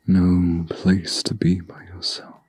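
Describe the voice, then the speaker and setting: Guy doing a protective fantasy huntsman for asmr, real low n breathy, slow soothing old-timey storybook talk. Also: completely flat delivery, a little mellow, no echo, full character voice. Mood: hushed, soothing. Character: gentle, protective huntsman of a dark fantasy forest. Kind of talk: ASMR roleplay, soft-spoken and intimate.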